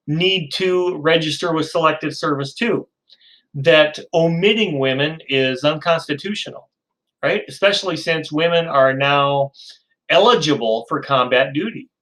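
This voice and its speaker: A man's voice: on the high side, fairly bright tone, rough recording. Chatty man in his fifties lecturing. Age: 50s. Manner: chatty, self-deprecating asides, frequent ums. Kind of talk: informal recorded lecture.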